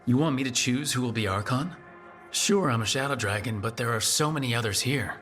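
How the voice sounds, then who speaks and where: An actor playing an earnest, level-headed rebel hero in a video game, speaking in a straightforward manner. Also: male voice, medium pitch, brisk, fairly bright tone, faint music behind, close to an everyday voice. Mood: serious, questioning. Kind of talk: video game dialogue acting.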